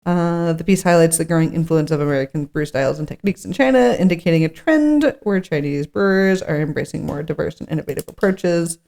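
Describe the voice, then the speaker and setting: A woman's voice: low voice, a little fast, vocal fry, hardly any pauses, darkish tone. A woman co-hosting a podcast, thinking aloud in an informal, musing way and reading out articles. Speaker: podcast co-host. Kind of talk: casual chat with read-out articles.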